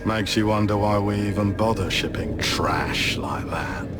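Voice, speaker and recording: An actor playing a sardonic, contemptuous prison-ship guard in a science-fiction game, with a sneering way of talking. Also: male voice, lowish voice, measured pace, over loud music, close to an everyday voice. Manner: deferential to superiors, sneering, sarcastic.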